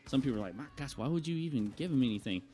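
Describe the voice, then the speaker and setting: A man's voice: mid-range voice, animated, very fast, a little mellow. A young adult man chatting casually to viewers as a vlogger, telling a rambling personal story in a conversational way. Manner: conversational, acts out quoted remarks.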